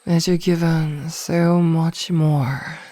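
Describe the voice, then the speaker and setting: A woman playing a possessive, teasing mage in an ASMR fantasy roleplay, speaking softly and coaxingly with condescending endearments. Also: low voice, flat delivery, slow, dry recording. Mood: hypnotic, possessive. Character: possessive, teasing female mage hypnotizing a burglar.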